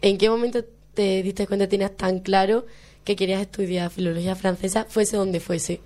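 Young woman co-host, mid pitch, studio radio, curious questions. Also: steady tone, normal speed, mellow. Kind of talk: casual radio interview.

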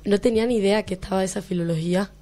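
A woman's voice: mid-range voice, rapid-fire, warm tone. Young woman co-hosting a radio chat. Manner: curious follow-up questions. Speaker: radio co-host. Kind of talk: casual radio interview. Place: radio studio.

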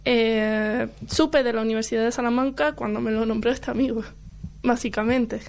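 A woman's voice: fairly high pitch, steady tone, normal speed, a little mellow. A young woman from Chile, a university student, chatting as a guest on a studio radio show, laughing often between fillers. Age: young adult. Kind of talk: casual radio interview. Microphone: studio headphones and mic.

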